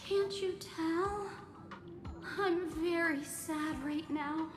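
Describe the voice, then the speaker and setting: A woman's voice: extremely high pitch, full character voice. A woman voicing a melodramatic, self-pitying young female performer in a video game, theatrical and mournful. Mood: grandly sorrowful. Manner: theatrical, mournful, drawn-out delivery. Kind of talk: video game character voice acting. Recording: echoey, music behind.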